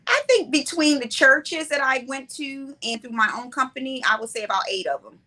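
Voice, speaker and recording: A woman in her forties, a playwright and director, answering interview questions in a relaxed, reflective, conversational way. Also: high-pitched, normal speed. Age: 40s.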